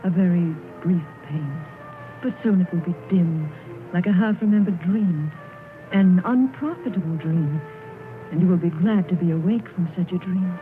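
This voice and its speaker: A sorrowful young fiancée, played by a woman, soft and composed as she speaks. Her voice is tinny and thin, like an old radio broadcast, with music under it. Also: fairly low pitch, moderate pace, lightly acted. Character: young woman ending an engagement, sad and resigned. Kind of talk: 1930s radio drama acting.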